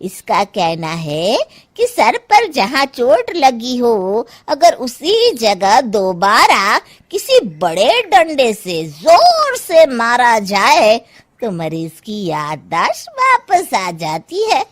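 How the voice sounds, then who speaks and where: A woman acts out an exasperated, scheming older mother-in-law in a comic story. Her delivery is slow and very lively, on the high side, with scolding sarcasm. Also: heavily acted. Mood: slyly menacing. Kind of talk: animated comic story dialogue.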